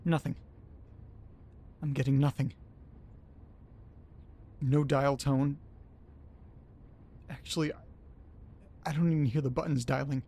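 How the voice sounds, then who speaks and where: A man acting in an audio drama as a frightened, hungover man alone in the dark, halting and repeating himself as he swears. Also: mid-range voice, flat delivery, gravelly, husky, heavily acted. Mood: anxious, frustrated.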